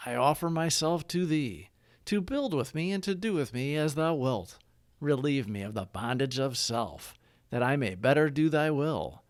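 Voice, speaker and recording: A man narrating scripted devotional reflections and prayers in measured, deliberate short phrases. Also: mid-range voice, no room sound, clean studio sound. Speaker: narrator of daily recovery readings.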